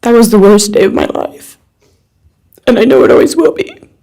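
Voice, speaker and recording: Creaky-voiced young woman reading aloud. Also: on the high side, expressive, moderate pace, dark tone. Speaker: friend reading a victim's statement on their behalf. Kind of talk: reading a written personal statement aloud. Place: courtroom.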